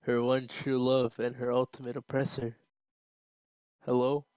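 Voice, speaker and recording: A man's voice: mid-range voice, monotone, measured pace, darkish tone, smooth, no room sound, rough recording. A man reading a story aloud informally, stumbling and amused. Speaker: amateur reader. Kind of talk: casual read-aloud of a story.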